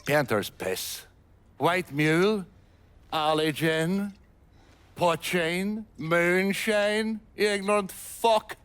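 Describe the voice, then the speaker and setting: An actor playing a bitter, foul-mouthed Irish mobster in a video game cutscene, sneering and profane, with an Irish accent. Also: male voice, high voice, drawn-out words, fairly bright tone, clean tone, exaggerated voice. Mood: bitter contempt. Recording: music behind the voice. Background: music.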